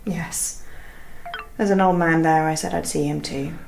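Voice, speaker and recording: An actress playing an anxious, suspicious young wife in a radio drama, fretful and questioning. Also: female voice, sits low, fairly even intonation, unhurried, vocal fry, noisy background. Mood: guarded, probing.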